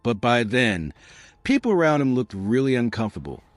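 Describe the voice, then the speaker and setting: A man's voice: medium pitch, big pitch swings, normal speed, dry recording. A man reading a scripted gossip documentary narration as a voice-over. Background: music.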